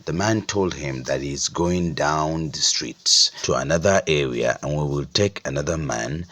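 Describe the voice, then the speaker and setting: A man with a Nigerian accent narrating a folktale as an oral storyteller, in a descriptive, deliberate way. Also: extremely low pitch, fairly bright tone.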